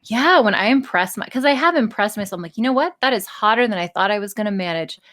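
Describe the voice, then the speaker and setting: A woman's voice: medium pitch, lively intonation, fast talker, fairly bright tone. A woman chatting casually as a podcast guest, candid, enthusiastic and free with swearing. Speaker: podcast guest, audio script writer and performer.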